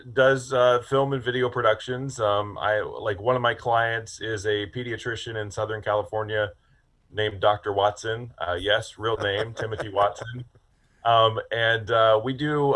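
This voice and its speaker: A man's voice: on the low side, fairly bright tone. Forties guy from ohio, chatty guest, rambles and laughs at himself. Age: 40s. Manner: chatty, self-amused, rambling. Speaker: film director guest. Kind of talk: casual interview chat.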